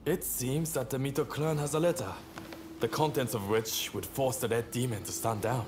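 A man's voice: mid-range voice, moderate pace, over music, lightly acted. A man playing a serious young samurai comrade in a video game. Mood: terse, serious. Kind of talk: video game cutscene acting.

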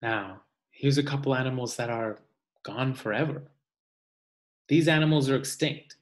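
A man in his thirties reading a children's nonfiction picture book aloud in a deliberate way, pausing for musing asides. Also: medium pitch. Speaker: read-aloud reader. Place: small indoor room at home.

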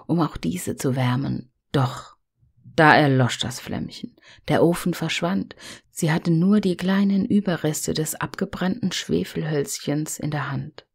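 Slow, low-voiced woman reading a fairy tale. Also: creaky, darkish tone, slightly rough.

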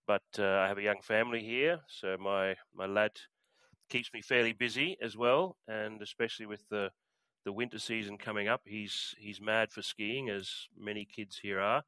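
A man's voice: fairly low pitch, steady tone, moderate pace, bright, forceful tone, clean tone, no room sound. A middle-aged Australian man chatting as a podcast guest, hesitant with plenty of fillers and restarts. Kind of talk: casual interview chat.

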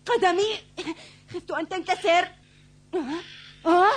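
Very high eager young boy. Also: steady tone, unhurried. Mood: wondering. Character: young boy kung fu pupil, eager and cautious. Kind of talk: Arabic cartoon dub.

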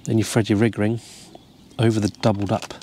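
A man, calmly explaining step by step how to tie a fishing rig, recorded outdoors. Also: fairly low pitch, brisk, a little hiss or hum. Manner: relaxed, explains each step while tying. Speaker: carp fishing angler demonstrating a rig. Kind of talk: casual step-by-step tutorial. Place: outdoors by the water, seated over a tackle box.

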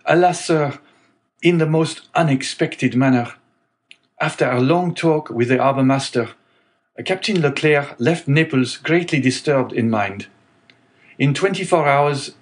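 A man voicing a calm, resolute young sailor in grief, speaking earnestly in a raised voice. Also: medium pitch, voice pushed up, close to an everyday voice.

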